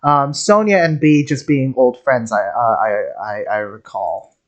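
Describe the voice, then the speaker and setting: A man streaming casual game commentary, excitable and jokey, rambling as he reacts. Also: medium pitch, measured pace, muffled recording.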